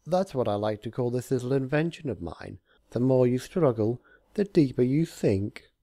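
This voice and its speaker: A man's voice: medium pitch, lively intonation, normal speed, mellow, no room sound, studio quality, pitched above the performer's own voice, natural voice. A man voicing a smug, gloating, mischievous god of chaos in a cartoon fan story, with a theatrical, taunting delivery.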